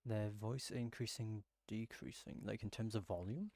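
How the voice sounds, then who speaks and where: A man's voice: fairly low pitch, fairly even intonation, moderate pace, creaky, mellow. A man giving casual live commentary while playing a game, muttering and thinking aloud. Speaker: game streamer.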